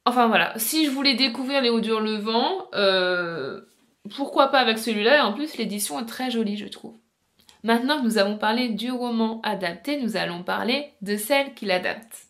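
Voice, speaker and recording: A woman with a slightly high voice talks as a book vlogger. She explains in an informal, chatty way, as in a casual video essay. She addresses her viewers directly. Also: moderate pace, fairly bright tone.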